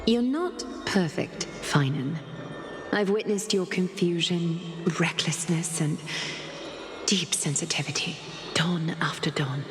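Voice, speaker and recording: Echoey, low-voiced, dignified woman leader. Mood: tender, solemn. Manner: solemn, measured, formal, tender. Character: dignified, composed woman leader delivering a solemn farewell. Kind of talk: scripted dramatic game voice acting, monologue. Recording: echoey hall reverb with music behind. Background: music.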